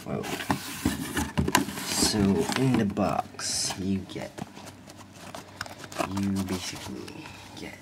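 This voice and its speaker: A man's voice: sits low, gravelly. A young man from Malaysia, hesitantly narrating an unboxing, sometimes dropping to a whisper. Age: young adult. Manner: hesitant, drifting to whispers and back. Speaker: unboxing vlogger. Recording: loud background noise. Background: packaging crinkling and clicks.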